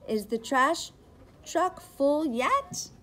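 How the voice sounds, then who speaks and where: A middle-aged woman, a preschool teacher, reads a picture book aloud to young children with expressive, rhythmic, sing-song phrasing. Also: sits high, very slow. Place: indoors, school classroom. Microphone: device camera at about arm's length.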